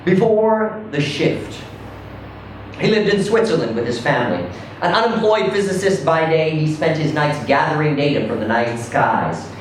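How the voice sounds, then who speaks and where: A man reading a first-person short story aloud in a conversational yet theatrical way, with deliberate pauses. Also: on the high side, lively intonation. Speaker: reader performing a short story. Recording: roomy, echoing, distant mic with faint noise.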